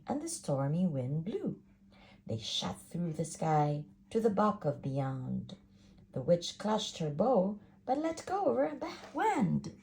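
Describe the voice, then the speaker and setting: A woman reads a rhyming children's story aloud, slowly and rhythmically. Her pitch dances widely across each line, while her lowish voice carries a gravelly fry. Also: a little hiss or hum. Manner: expressive, rhythmic rhyming delivery. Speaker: parent reading a picture book.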